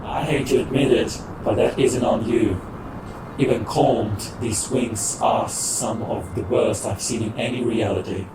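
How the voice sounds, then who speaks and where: An actor playing a proud, haughty armored genius in a comic dub, imperious and formal in speech. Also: male voice, fairly low pitch, unhurried, very noisy. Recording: echoey, distant, loud music behind.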